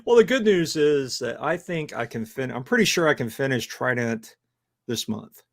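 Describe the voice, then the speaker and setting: A man's voice: medium pitch, animated, moderate pace, vocal fry. A man co-hosting a podcast, chatting casually about his writing, rambling and swearing, laughing often. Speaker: podcast co-host, novelist. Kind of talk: casual chat.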